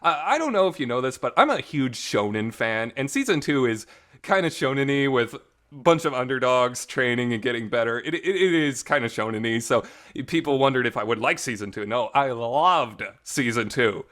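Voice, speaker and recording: A man with a mid-pitched voice talks in a casual, rambling way, like a devoted fan hosting his own review show. He is informal and emphatic, leaning into his enthusiasm. The recording carries some reverb. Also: animated, moderate pace, a bit bright. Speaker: host of a visual novel talk show.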